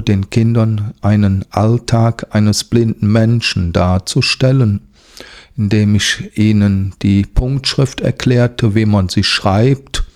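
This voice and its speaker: A man's voice: lowish voice, a little mellow. A man giving a formal, prepared account of his part in a school project. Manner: measured, formal. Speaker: project contributor. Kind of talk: prepared statement.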